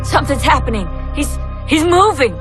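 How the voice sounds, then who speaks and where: A woman's voice: sits high, big pitch swings, moderate pace, music in the background. An actress playing an anxious young woman in a video game cutscene, speaking with urgency. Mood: alarmed, hopeful.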